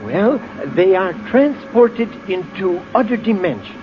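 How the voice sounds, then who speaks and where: Excitable professor, high animated voice, formal and kinda hesitant, old noisy radio drama. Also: male voice, a little slow. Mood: grave, fascinated. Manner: hesitant, precise, formal, awed by discovery. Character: excitable male professor, astronomer and mathematician. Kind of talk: 1950s radio drama acting. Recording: dull, noisy vintage radio recording.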